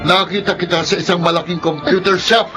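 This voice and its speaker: Older brother, male actor: young, Filipino, high-pitched, emphatic and scolding, responsible type for radio melodrama. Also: fairly even intonation, brisk, music in the background, natural voice. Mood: accusing. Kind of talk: Filipino radio drama acting.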